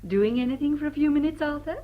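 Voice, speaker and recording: High, gushing, flirtatious socialite played by an actress. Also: female voice, fast, dull audio, close to an everyday voice. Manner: gushing, theatrical. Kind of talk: stage drawing-room radio drama.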